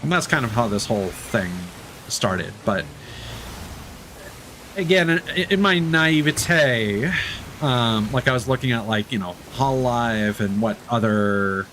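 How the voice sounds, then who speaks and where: A man chatting casually as he livestreams a game, candid and reflective, in a halting, rambling way that often doubles back to correct itself. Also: mid-range voice, animated, fairly bright tone, slight background noise. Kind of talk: casual streamer chat while gaming.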